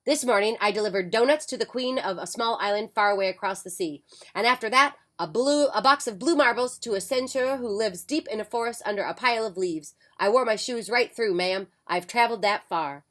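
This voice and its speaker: A woman doing a brisk little delivery man. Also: mid-range voice, fairly bright tone, lightly acted. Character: small, busy male delivery man.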